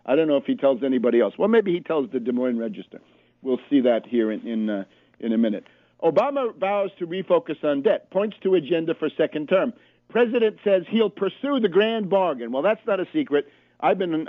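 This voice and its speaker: A man's voice: fairly high pitch, no room sound. Fiery male radio host on a tinny line. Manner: emphatic, reads quotes aloud and comments sharply; hesitant fillers between points. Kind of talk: political commentary radio show. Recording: tinny phone-line sound, very dry.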